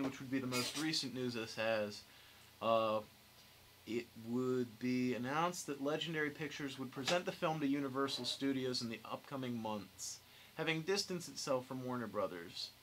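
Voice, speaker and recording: Young male podcast host, bright mid-pitched voice, wry and conversational, some background noise. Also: normal speed, poor audio. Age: young adult. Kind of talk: casual podcast discussion.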